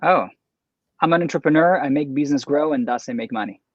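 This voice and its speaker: An Italian-accented man talks as a podcast guest, lively and eager, often repeating himself for emphasis. His voice sits at an ordinary pitch, recorded close and dry with a slightly dull, lo-fi tone. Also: husky, no echo. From Italy. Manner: animated, enthusiastic, quick to praise. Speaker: guest entrepreneur. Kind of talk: casual interview chat.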